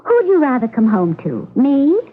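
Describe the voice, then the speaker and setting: A woman's voice: high voice, very animated, moderate pace, husky, exaggerated voice. A woman playing a brash, vain, self-assured young actress under suspicion in a radio mystery. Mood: boastful, scheming. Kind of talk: radio mystery drama acting. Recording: muffled lo-fi old radio broadcast.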